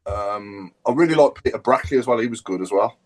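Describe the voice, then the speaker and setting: Quick-talking man bantering casually. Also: on the low side, expressive, a little mellow, rough recording. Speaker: football podcast panellist. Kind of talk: casual group chat.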